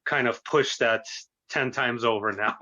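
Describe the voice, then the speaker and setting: A man in his early thirties, a native Russian speaker raised in the United States, giving an earnest, halting personal account as an interview guest on a lo-fi recording. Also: mid-range voice, pushed and bright. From born in Russia, grew up in the United States. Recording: dull, lo-fi voice recording.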